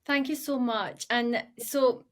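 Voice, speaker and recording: Supportive woman host, slightly high voice. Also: fairly even intonation, slow pace. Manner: supportive, affirming. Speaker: podcast host. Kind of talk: casual interview chat.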